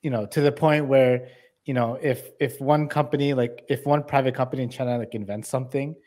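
A man's voice: mid-range voice, steady tone, brisk, warm tone. A man co-hosting a casual political livestream, interjecting with conversational, analytical commentary. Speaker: show co-host. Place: indoor room, livestream video call.

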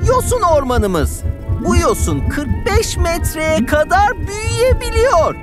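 A woman's voice: extremely high pitch, very animated, measured pace, over loud music, full character voice. A woman voicing a friendly, eager cartoon jellyfish who chats like a welcoming tour guide. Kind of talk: children's cartoon dubbing in Turkish.

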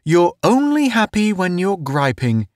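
A man's voice: high-pitched, big pitch swings, unhurried, no room sound, studio quality, pitched above the performer's own voice, full character voice. A man voicing a jovial, needling spaceman pal in an audiobook, full of giggly, folksy teasing. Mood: cheerful needling. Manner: gleeful, teasing, folksy wisecracking. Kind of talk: audiobook character voice.

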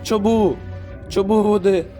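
Anxious cartoon Sun, male performer: caring, pleading, higher-pitched, music underneath. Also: fairly even intonation, unhurried. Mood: desperate, pleading. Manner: emotional, pleading. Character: anxious, caring Sun, haunted by lost planets. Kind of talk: animated space cartoon dub. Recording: music behind the voice.

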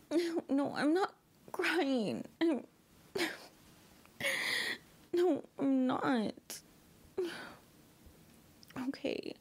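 A woman's voice: high voice, a little mellow, heavily acted. A young woman playing a bratty, pouting girlfriend in an ASMR audio roleplay, pleading and often dropping to whispers. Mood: tearful pleading. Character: bratty pouting girlfriend begging her partner.

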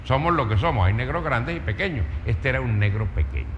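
A man with a Caribbean Colombian accent giving a storytelling talk, emphatic and rhetorical, fond of lists and questions. His middle-pitched voice echoes with the long reverb of a large hall. The sound is dull, with faint noise behind. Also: animated, fairly quick. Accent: Colombian Caribbean coast. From Caribbean coast of Colombia. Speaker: speaker, writer.

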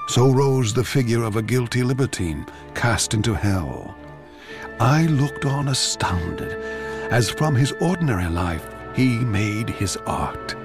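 An actor playing a bitter, ageing court composer, confiding and anguished, in a theatrical, measured radio drama monologue. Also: male voice, mid-range voice, expressive, over music. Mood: brooding, anguished. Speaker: actor reading a stage play as narrator.

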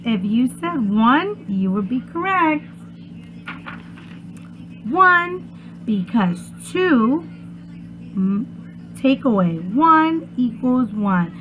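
A young woman, a preschool teacher, reads aloud and chats to small children in an expressive, encouraging way, on a muffled webcam recording made at home. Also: on the high side, extremely slow, long pauses, slight background noise. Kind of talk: children's read-aloud and chat. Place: small furnished room at home, indoors.

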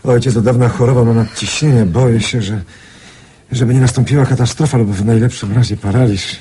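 A male actor playing a genial, crafty American gentleman traveller in a radio drama, with polished, theatrical delivery. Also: medium pitch, fairly even intonation, brisk, slight background noise, lightly acted. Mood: worried, grave.

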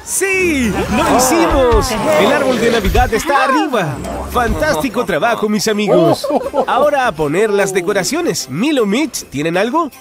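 High-pitched, animated cartoon narrator. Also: male voice, unhurried, full character voice. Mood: cheerful. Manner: exclamatory, encouraging, addresses the characters directly. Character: cheerful, encouraging male narrator guiding monster trucks. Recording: loud music under the voice.

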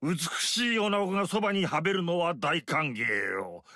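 An actor playing a lecherous, greedy old tyrant in a video game, leering and boisterous with booming laughs. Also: male voice, pushed and bright, dry recording, exaggerated voice. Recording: dry studio recording.

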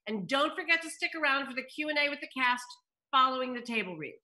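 A woman's voice: sits high, lively intonation, fast talker, bright, forceful tone. A middle-aged woman hosting a table read over video call, reading stage directions and addressing viewers. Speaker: table read narrator and host.

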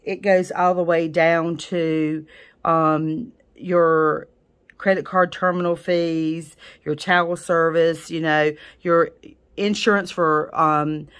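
A woman talks through a salon business book in a relaxed, chatty way. Her voice sits on the lower side, and she keeps adding fillers and restarting her sentences as she goes. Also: takes it slowly, clear, resonant tone. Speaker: nail technician reviewing a book. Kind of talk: casual book review.

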